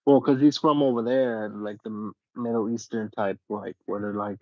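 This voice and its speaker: A man's voice: on the low side, steady tone, moderate pace, dry recording, narrow, tinny audio. A man hosting a casual livestream interview, slangy and agreeable, asking questions in a laid-back way.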